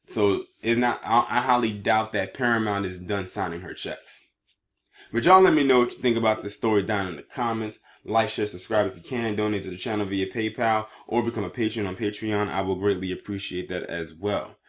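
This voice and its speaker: A man with a fairly low voice delivers online news commentary. He moves between reading written reports and speaking his own take, and he sometimes trips over words as he reads. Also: brisk, fairly bright tone.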